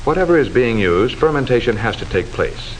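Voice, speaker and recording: A man delivering formal, measured, instructive scripted narration in the style of a 1940s educational film, on a hissy old soundtrack. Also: mid-range voice, big pitch swings, low-quality recording.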